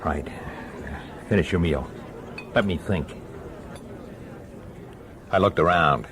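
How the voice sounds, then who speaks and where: An actor playing a world-weary middle-aged police detective in a radio drama, speaking tersely and dryly, also as narrator. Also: male voice, deep voice, slow, a bit bright, a faint crowd, slight background noise. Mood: wary, low. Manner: terse, dry, clipped. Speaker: detective and first-person narrator.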